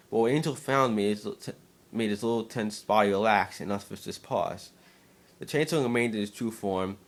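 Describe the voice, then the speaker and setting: Low-voiced man narrating a story. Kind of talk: dramatic reading of fan fiction.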